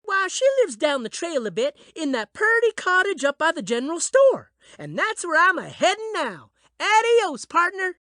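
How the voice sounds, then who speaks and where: A woman's voice: very high-pitched, big pitch swings, normal speed, few pauses, fairly bright tone, dry recording, clean studio sound, full character voice. A woman voicing a plucky little cowgirl granddaughter in a children's cartoon, folksy and exuberant with an American Western accent. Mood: cheerful. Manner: folksy, exuberant cowboy twang. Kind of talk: children's cartoon fairy tale acting.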